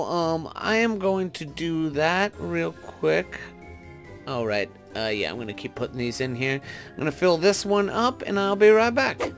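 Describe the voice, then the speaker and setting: A man giving casual gameplay commentary, thinking aloud and tentatively talking through each step as he goes. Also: a little high. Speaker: gaming video host.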